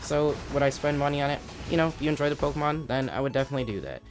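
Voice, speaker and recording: Hoarse guy rambling about a game, music going in the background. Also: medium pitch, racing. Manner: relaxed, loose, lots of filler "like" and restarts. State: losing his voice. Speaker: gaming channel host. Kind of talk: casual game commentary.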